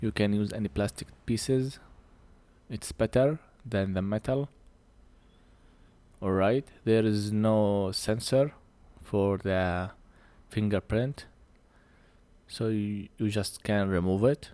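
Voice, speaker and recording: A man who speaks English as a second language gives a hands-on repair tutorial in halting short phrases, a little short of breath. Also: lowish voice, warm tone, no echo. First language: not English. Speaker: phone repair technician presenting a tutorial.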